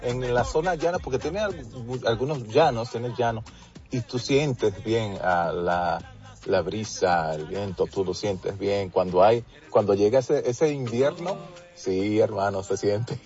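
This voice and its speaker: A man's voice: fairly low pitch, unhurried. Dominican male singer, radio guest, chatty with restarts, dull lo-fi line, faint music under. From Dominican Republic. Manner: warm, frequent restarts and "así es". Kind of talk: casual phone interview.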